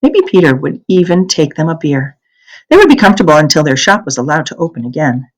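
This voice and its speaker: Animated, husky woman reading aloud. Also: low-pitched, brisk, poor audio. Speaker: read-aloud narrator. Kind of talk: expressive narration of a children's novel.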